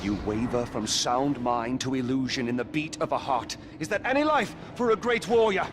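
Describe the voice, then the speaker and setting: A man's voice: medium pitch, animated, normal speed, natural voice. Man acting solemn guide aiding a warrior. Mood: urgent, earnest. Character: earnest male guide secretly aiding a warrior. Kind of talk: video game cutscene acting. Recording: loud music behind the voice.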